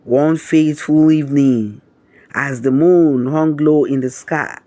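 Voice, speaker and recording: A man narrating a scripted romantic folktale in a measured, dramatic storybook manner. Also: on the high side, warm tone, no echo.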